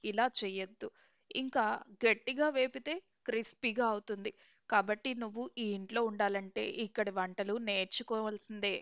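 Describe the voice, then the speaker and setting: A strict, lecturing mother-in-law from a cartoon story, put on by a woman narrator. The voice sits lower than the narrator's own. The recording is dry and a little dull. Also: fairly even intonation, moderate pace, a bit bright, clean tone, no room sound, close to an everyday voice. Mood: stern. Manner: stern, lecturing.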